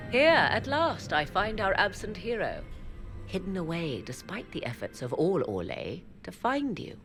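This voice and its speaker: A woman's voice: lowish voice, sing-song, a little slow, a bit bright, soft background music, heavily acted. An actress voicing an aloof, sardonic woman mage, speaking in formal, old-fashioned phrasing with dry, teasing asides. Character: aloof, sardonic woman mage who deals in forbidden arts. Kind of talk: video game cutscene acting.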